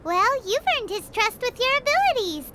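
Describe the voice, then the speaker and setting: A woman's voice: extremely high pitch, sing-song, normal speed, very noisy. A woman voicing a tiny, cheeky, childlike fairy companion in a video game, perky and cheerful. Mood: playful. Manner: speaks of self in third person, perky.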